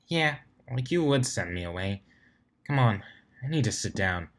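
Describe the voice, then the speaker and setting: A man's voice: fairly low pitch, lively intonation, normal speed, a bit bright. A man voicing an exhausted, nauseous male pony from a storybook, muttering laboriously. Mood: weary. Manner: muttering through pressed lips, laboured. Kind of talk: storybook character reading.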